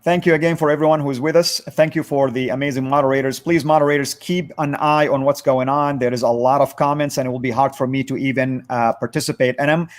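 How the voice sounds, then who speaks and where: A man hosting a live stream discussion, thanking moderators and questioning a guest in a halting way. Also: medium pitch, fairly even intonation. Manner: halting, with many fillers and restarts.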